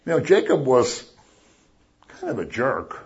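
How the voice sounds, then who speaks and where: A man preaching a sermon as a pastor, chatty and animated, acting out little voices as he talks. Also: medium pitch, a little slow, dull audio, a little hiss or hum. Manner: conversational, animated, acts out voices.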